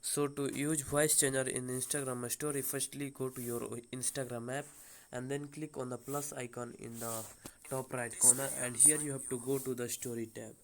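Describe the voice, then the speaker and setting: A man giving an unscripted step-by-step phone app tutorial as host, speaking in a halting, hesitant way with repeated phrasing. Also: medium pitch, little pitch movement, slight background noise.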